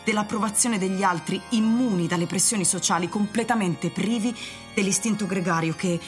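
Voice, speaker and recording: A woman narrator reading scripted story passages for a radio drama, measured and serious. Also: medium pitch, soft background music, natural voice.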